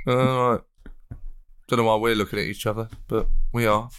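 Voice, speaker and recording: A man, a comedian guest on a podcast, rambling and playful and quick to giggle. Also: on the low side, fairly bright tone, clear, resonant tone. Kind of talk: comic podcast chat.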